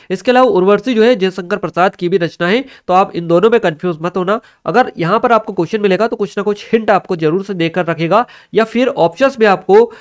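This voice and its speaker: High-pitched man teaching. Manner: instructive, repeats key facts for emphasis. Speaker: exam-prep teacher. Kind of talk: explanatory exam-review lecture.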